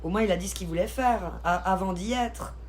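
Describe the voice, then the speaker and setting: A woman from Switzerland chatting casually on a live stream, reading and answering viewers' comments in an informal, slangy, expressive way. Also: medium pitch, brisk. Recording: live stream with clear background noise.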